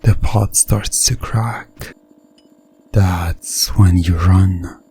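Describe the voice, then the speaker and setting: A man narrating a hypnosis ASMR story in the second person, in a deliberate, suspenseful way. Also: deep voice, fairly even intonation, mellow, husky, soft background music.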